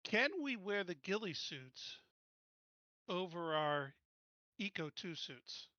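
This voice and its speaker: Slow, bright-voiced tabletop player. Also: medium pitch, sing-song, dry recording, highs cut off. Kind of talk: casual chat at a game table.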